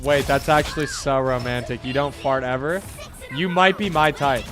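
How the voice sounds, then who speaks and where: A man's voice: medium pitch, steady tone, normal speed, loud music behind the voice. A man streaming live, joking around and reading out viewers' questions in a casual chat. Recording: noisy stream mic with clicks.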